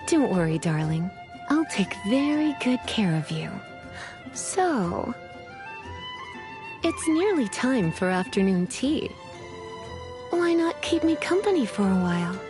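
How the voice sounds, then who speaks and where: Languid librarian sorceress for a fantasy game: highish voice, unhurried, coy and teasing drawl. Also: female voice. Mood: flirty, inviting. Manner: flirtatious, drawling, sleepy. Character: languid, teasing adult female mage turned librarian. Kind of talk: video game character voice lines. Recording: music behind the voice.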